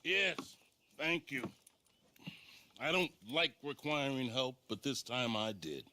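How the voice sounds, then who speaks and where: An actor playing a proud, formal man of the Old West in a game cutscene, speaking with deliberate care. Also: medium pitch, expressive, bright, forceful tone. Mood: grateful, stiff. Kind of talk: video game cutscene acting.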